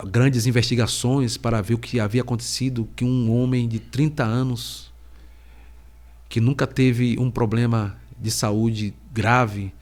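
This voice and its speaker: A middle-aged man speaks slowly, in halting phrases with long pauses. He has a cold, and his voice sits at an ordinary pitch for a man. He is a preacher telling his own story of faith on a podcast. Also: a little mellow. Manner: slow, halting, heavy pauses. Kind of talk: personal faith testimony.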